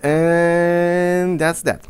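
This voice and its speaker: A man's voice: on the high side, flat delivery, drawn-out words, smooth. A young man from Romania with a Romanian accent, giving casual, rambling gameplay commentary in English, blunt and sarcastic. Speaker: gaming commentator.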